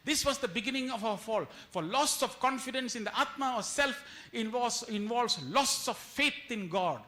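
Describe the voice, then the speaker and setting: A man speaks in a notably high, bright and forceful voice. He delivers a spiritual lecture like a storyteller, slipping into quoted lines and rhetorical questions. Key phrases come back again for emphasis. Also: lively intonation, moderate pace. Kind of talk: devotional lecture to an audience.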